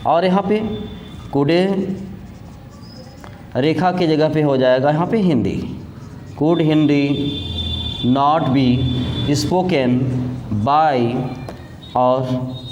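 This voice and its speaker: Indian guy teaching grammar, kinda high voice, repeats stuff, really echoey room. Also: drawn-out words, long pauses, darkish tone, dull audio. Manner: instructional, repeats key points. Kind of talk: classroom-style grammar lesson, mixing in English terms. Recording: echoey room with background noise.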